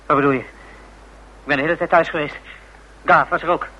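Bright, tense, cornered younger brother. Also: male voice, a little high, fairly even intonation, highs cut off. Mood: uneasy. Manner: agitated, defensive, halting. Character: anguished, cornered younger brother confessing to killing. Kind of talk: radio play acting. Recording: noisy old radio drama recording.

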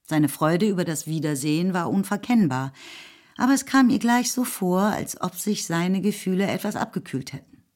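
A woman's voice: lowish voice, lively intonation, moderate pace, a little mellow. A woman narrating a radio drama in a scripted literary style. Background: music.

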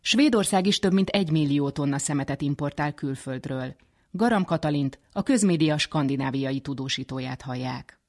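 A woman's voice: low voice, a little mellow. A woman presenting a scripted radio magazine as its editor and host.

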